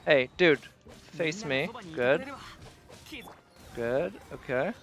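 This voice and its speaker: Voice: mid-range voice, expressive, extremely slow, a bit bright, soft background music. Casual game streamer muttering through play. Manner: talking to self while playing, mild swearing. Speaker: game livestreamer. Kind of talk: casual live gameplay commentary.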